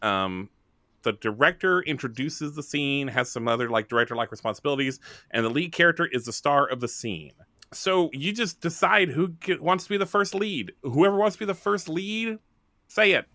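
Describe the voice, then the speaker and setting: A man's voice: a little high, expressive, normal speed, sharp tone, no room sound. A man casually explaining and joking his way through a tabletop roleplaying game as its facilitator.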